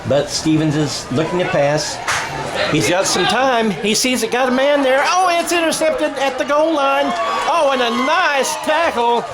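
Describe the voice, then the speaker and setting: A man's voice: extremely high pitch, measured pace, very noisy. Male American sports commentator, conversational radio play-by-play, self-correcting delivery, live crowd behind. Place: outdoor high school football game.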